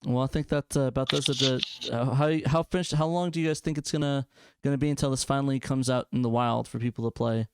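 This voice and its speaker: A man's voice: medium pitch, fairly even intonation, quick pace, few pauses, smooth. A man hosting a casual group chat about games in a hesitant, jokey way. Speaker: host of a gaming video show.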